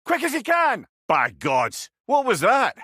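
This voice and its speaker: A man's voice: very high voice, wildly swooping pitch, a little slow, pushed and bright, breathy edge, dry recording, clean studio sound, full character voice. An actor playing a witty, learned old male sage in a video game, with a Scottish accent and a wry, eloquent way of talking. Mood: urgent warning. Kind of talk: video game character dialogue.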